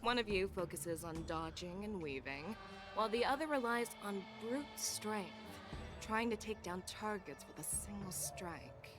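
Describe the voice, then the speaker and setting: A woman's voice: mid-range voice, lively intonation, measured pace, natural voice. Actress as a poised, confident warrior woman. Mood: poised, amused. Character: confident, worldly female warrior posing as a humble passerby. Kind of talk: anime game voice acting. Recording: game dialogue over music.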